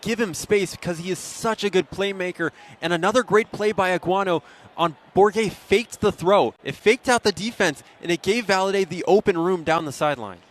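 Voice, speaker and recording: A man's voice: high voice, moderate pace. A man giving live football analysis as a color commentator, conversational and opinionated as he explains the plays.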